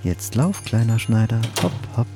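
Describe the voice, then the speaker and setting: An actor playing a malicious hatter's mocking inner voice in a radio play, contemptuous and sneering. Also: male voice, lowish voice, expressive, normal speed, music in the background, close to an everyday voice. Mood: gloating.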